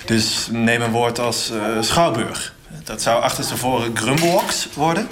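An actor playing an earnest, easily indignant institute director in a satirical radio interview, hesitant and pedantic. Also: male voice, medium pitch, a little slow, a bit bright, close to an everyday voice. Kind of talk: mock radio interview, satirical audio drama. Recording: busy call centre chatter behind. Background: crowd of voices in a call centre.

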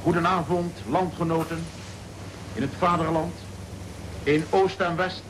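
Old-timey radio announcer, actor doing it, slow and stiff, noisy broadcast sound. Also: male voice, fairly high pitch, steady tone, close to an everyday voice. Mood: formal. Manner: slow, formal and measured. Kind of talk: formal 1940s radio announcing.

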